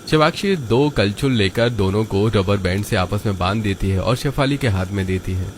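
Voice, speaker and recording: A man's voice: lowish voice, moderate pace, slight background noise. A man narrating a scripted family cartoon story.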